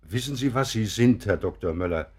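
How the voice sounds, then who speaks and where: Husky, animated, courteous professor. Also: male voice, on the low side, moderate pace. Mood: wry, teasing. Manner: chatty, polite, teasing. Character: courteous, wry professor showing a visitor round. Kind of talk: German radio drama acting.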